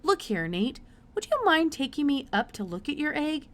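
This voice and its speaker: A woman voicing a brisk, curious, absent-minded older male scientist in a storybook, with hesitant mutters and emphatic exclamations. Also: high voice, sing-song, voice pushed up. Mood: eager, fussy.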